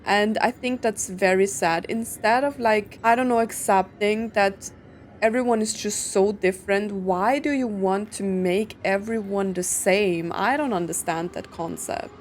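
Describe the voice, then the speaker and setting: A woman's voice: mid-range voice, measured pace, a little hiss or hum. A woman giving casual gameplay commentary, musing aloud in a rambling way. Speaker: video game streamer.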